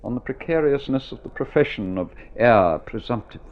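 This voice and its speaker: Man acting as dry superior clubman of forty, sardonic, formal, animated intonation, radio play, tinny phone-like recording. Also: mid-range voice, lightly acted. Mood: sardonic, musing. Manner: sardonic, measured, old-fashioned formality. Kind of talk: old-time radio drama acting.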